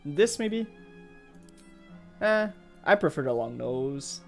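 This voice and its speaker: A man's voice: a little high, very animated, takes it slowly, gravelly. French-Canadian man streaming casually over music. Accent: Quebec French. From Montreal, Canada. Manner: hesitant, rambling, thinking aloud. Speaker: video game streamer. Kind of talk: casual live gameplay chat.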